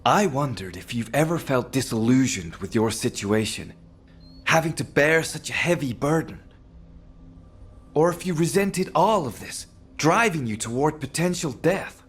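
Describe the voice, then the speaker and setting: An actor playing a solemn, thoughtful male researcher in a video game, speaking in an earnest, reflective way with a husky voice. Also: on the high side, lively intonation, measured pace. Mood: earnest, pensive. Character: solemn, thoughtful male researcher confiding in a long-time comrade.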